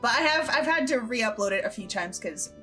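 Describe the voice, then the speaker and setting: A woman's voice: a little high, animated, fairly quick, sharp tone. A woman speaking as a show's creator and host in a casual group chat. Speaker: show creator, editor and host. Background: background music.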